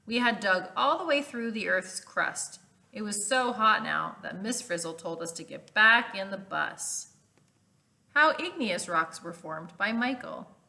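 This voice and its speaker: A woman reads a picture book aloud for children in a very bright, forward voice at an average pitch. She is expressive and instructive, stepping out of the text to explain words as a teacher would.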